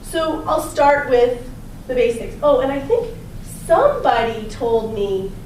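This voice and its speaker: A slightly hoarse woman with a raspy voice, a chiropractor giving a chatty, upbeat health talk and quizzing her small audience. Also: sits high, very animated, measured pace, darkish tone. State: slightly hoarse from talking a lot. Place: personal training gym, indoors. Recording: echoey room, distant mic, background noise.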